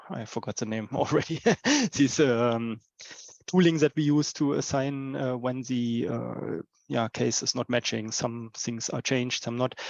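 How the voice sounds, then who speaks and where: A man with a creaky, mid-pitched voice explains something technical in a halting, informal way. The audio is slightly muffled and dry, as on an online call. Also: darkish tone, dry recording. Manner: halting, searching for words. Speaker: committee member. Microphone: video call.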